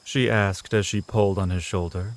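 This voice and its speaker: A man with a fairly low voice narrates a fantasy audio drama. He reads scripted prose as a storyteller, telling the tale between the characters' lines. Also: steady tone, normal speed.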